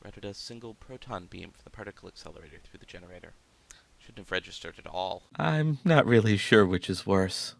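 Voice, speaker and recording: A man's voice: on the low side, a little fast, vocal fry, no room sound. A man playing a troubled lab scientist in a video game, explaining things in a serious, hesitant way. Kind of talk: naturalistic game dialogue acting.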